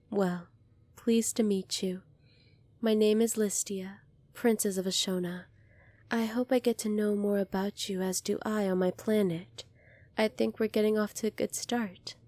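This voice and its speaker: Soft-spoken gentle alien princess, acted by a woman, dry and mellow. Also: mid-range voice, no echo, close to an everyday voice. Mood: friendly, curious. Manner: soft-spoken, polite, earnest, apologetic. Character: gentle, earnest young alien princess, kind and unsure. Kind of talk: ASMR roleplay speaking to the listener.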